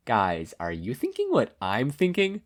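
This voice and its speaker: A man voicing a cheeky, curious teenage boy hero in an audiobook, playful and excitable. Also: medium pitch, unhurried. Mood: playful curiosity.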